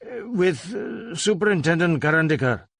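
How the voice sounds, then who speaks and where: A man's voice: a little high, very animated, moderate pace, gravelly, fairly bright tone, no room sound, studio quality, voice pushed up, exaggerated voice. A man voicing a dutiful, uneasy Indian police inspector in an audiobook, speaking with cautious, hesitant deference. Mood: nervous, hesitant. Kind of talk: audiobook character voice.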